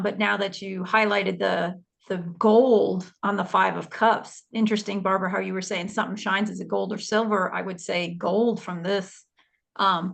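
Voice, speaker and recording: A woman's voice: mid-range voice, vocal fry, a bit bright. A woman on a tarot panel over a video call, speculating confidently about her reading with trailing fillers. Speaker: tarot reader co-host. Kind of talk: casual spiritual panel chat.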